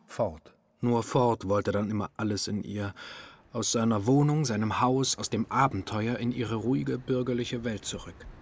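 An actor narrating a literary radio drama in German in a measured, sober way. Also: male voice, on the low side, husky.

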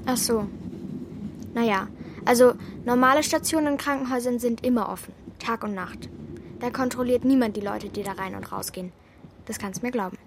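A woman's voice: a little high, a little fast, noisy background, natural voice. A woman playing a bossy, streetwise young girl with a brash, know-it-all manner in a children's radio play. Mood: confident.

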